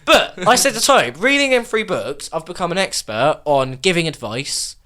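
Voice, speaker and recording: A young man, loudly joking and swearing through casual podcast banter with his bandmates. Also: high-pitched, very animated, normal speed, crowd noise. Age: young adult. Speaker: podcast host and band member.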